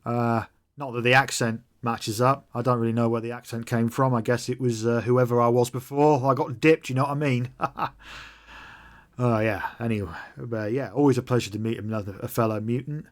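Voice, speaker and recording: A man voicing a gruff male mutant gun runner who talks bluntly, swears a lot and rambles in a tabletop game. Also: medium pitch, steady tone, brisk, natural voice. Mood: chatty.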